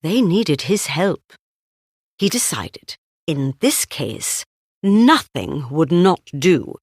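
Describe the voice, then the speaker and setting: A woman reading a children's storybook aloud in an expressive, deliberate way. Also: low voice, husky, dry recording, studio quality. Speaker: storybook narrator. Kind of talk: scripted read-aloud children's story narration.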